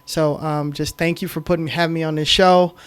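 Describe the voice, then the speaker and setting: A middle-aged man speaking as a guest on a podcast, with a fairly high voice for a man. He tells his story in an emphatic, animated way and leans on frequent "um"s as he goes. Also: fairly quick, smooth. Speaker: podcast guest, film director. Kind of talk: casual storytelling interview.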